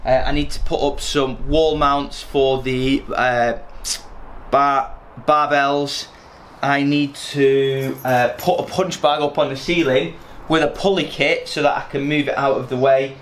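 A man's voice: medium pitch, little pitch movement, measured pace, a bit bright. A man in his thirties, chatting casually through his plans with lots of fillers, filmed on a handheld camera in his garage gym. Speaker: vlogger. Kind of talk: casual vlog narration. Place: garage home gym, indoors. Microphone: handheld camera at arm's length. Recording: echoey garage with faint hum.

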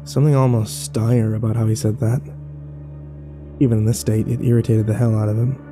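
A man's voice: fairly low pitch, lightly acted. A man playing a sarcastic, battered young man who narrates his own horror audio drama with wry, sweary self-deprecation.

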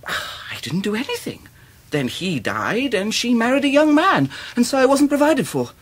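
A modest, good-natured young Englishman studying law in a radio drama. His husky voice moves very animatedly, earnest and guileless with little chuckles. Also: very high-pitched, big pitch swings, moderate pace, fairly bright tone, full character voice. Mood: candid, good-natured. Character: cheerful, modest, eager young law student.

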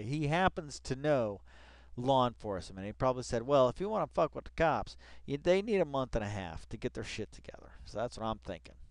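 Male read-along commentator, mid pitch, clear tone, very dry sound; casual, sweary, rambling and repetitive. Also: dry recording. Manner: muttering asides, exasperated swearing, drawn-out repeated phrases. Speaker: vlogger reading a web article aloud with commentary.

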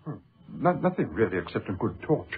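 Diffident british archaeologist, rough husky voice, stammers politely, pitch swoops about, old muffled radio play. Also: male voice, very animated, a little fast, exaggerated voice. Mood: hesitant, yielding. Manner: hesitant, stammering, politely evasive, old-fashioned educated speech. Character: secretive scholarly male archaeologist, diffident yet quietly excited. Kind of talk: BBC radio drama acting.

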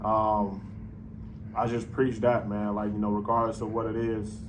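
A man's voice: fairly low pitch, moderate pace, background noise. A young man in his twenties answering reporters at a postgame press conference, speaking loosely with many fillers into a table mic. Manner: relaxed, many "you know" fillers. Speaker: professional basketball player. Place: arena press room. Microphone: table mic on stand.

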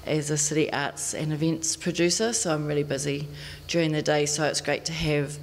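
Nz woman, 50s, chatty and laughy, a bit low, stand mic with hall echo. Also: fairly even intonation, a little fast. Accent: New Zealand. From New Zealand. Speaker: panelist, fibre artist. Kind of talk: casual self-introduction. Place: indoor art gallery. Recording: reverberant gallery, faint hiss.

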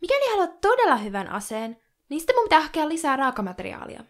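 A woman's voice: high-pitched, huge pitch swings, exaggerated voice. A woman playing a blunt young female blacksmith in an anime parody dub, with a dry, sarcastic manner. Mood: dry, scheming.

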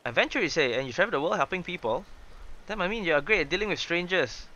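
A young man doing excitable, chatty live game commentary, exclaiming and reading dialogue aloud. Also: high-pitched, fast talker, highs cut off, a little hiss or hum. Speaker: gaming streamer.

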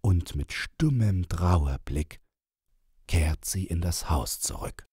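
Deep-voiced, expressive male storyteller. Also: slow pace, husky, no room sound, studio quality. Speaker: audiobook narrator. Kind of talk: scripted narration of rhyming children's verse.

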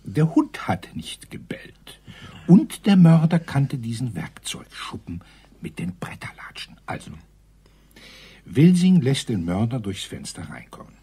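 An actor playing a rambling colonel in a German radio crime drama, hesitant then emphatic, with a husky, breathy voice. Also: male voice, mid-range voice, wildly swooping pitch, a little slow, warm tone, full character voice. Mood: dramatic, emphatic.

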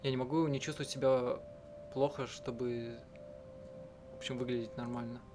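Low-voiced man narrating a game. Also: little pitch movement. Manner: halting, self-correcting delivery. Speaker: game streamer and live translator. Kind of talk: let's-play narration, reading and translating aloud. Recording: game music under the voice. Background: game music.

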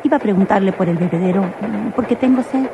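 A woman's voice: medium pitch, fast, gravelly, close to an everyday voice. An actress playing a young woman train passenger, polite but anxious, with a Mexican accent in a radio soap opera. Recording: noisy old radio drama recording.

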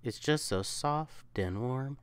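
A woman's voice: extremely low pitch, animated, slow pace, clear, resonant tone, no room sound, full character voice. A young woman voicing an affectionate, teasing, protective catboy boyfriend in an intimate, coaxing ASMR roleplay. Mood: playful, chiding. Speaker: audio roleplay performer.

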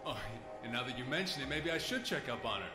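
A man playing a flirtatious smooth-talker. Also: mid-range voice, fast talker, close to an everyday voice. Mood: casual. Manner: flattering, smug, chuckling. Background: game score music.

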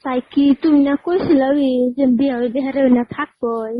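A woman's voice: high-pitched, a little fast. A woman with a regional Bangladeshi Bengali accent talking informally and insistently on a recorded phone call, repeating herself. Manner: insistent, repetitive. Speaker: caller.

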